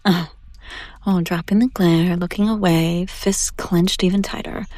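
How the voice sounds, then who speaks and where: A woman playing a seductive, domineering demon in an intimate audio roleplay, teasing and condescending, often slipping into breathy whispers. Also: lowish voice, fairly even intonation, unhurried, darkish tone, no echo, lightly acted. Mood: smug, coaxing. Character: seductive, domineering female demon buyer taunting a captive angel. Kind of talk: intimate scripted audio roleplay addressed to the listener.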